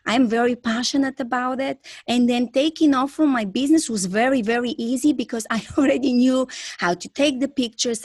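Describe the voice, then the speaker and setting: Bulgarian lady in her 30s telling her story on a podcast, higher voice, really dry sound, enthusiastic. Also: no echo. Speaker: podcast guest, pet photographer. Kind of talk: interview storytelling.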